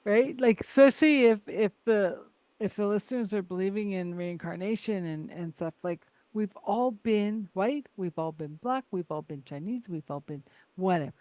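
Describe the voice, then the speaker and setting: A woman's voice: mid-range voice, moderate pace, hardly any pauses, warm tone, no echo. A woman hosting a podcast from Canada, chatty and laughing often, full of "like" and "right?". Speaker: podcast host. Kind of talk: casual conversation.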